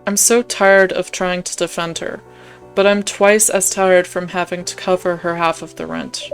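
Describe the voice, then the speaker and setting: A woman's voice: lowish voice, little pitch movement, normal speed. A young woman hosting a gaming video, reading a visual novel's narration aloud and adding casual commentary. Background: faint music.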